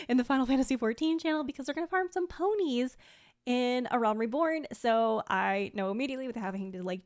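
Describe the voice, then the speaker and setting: A woman talking casually to viewers like a streamer, in a conversational way sprinkled with "like" and small laughs. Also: on the high side. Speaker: video creator and streamer presenting a sponsored ranking video. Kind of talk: casual chatty vlog talk to viewers.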